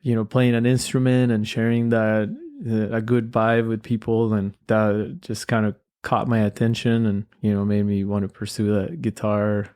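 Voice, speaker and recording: Relaxed Colombian man, smooth resonant voice. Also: on the low side. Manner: easygoing, thoughtful, pauses and restarts often. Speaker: guest musician. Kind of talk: casual interview chat.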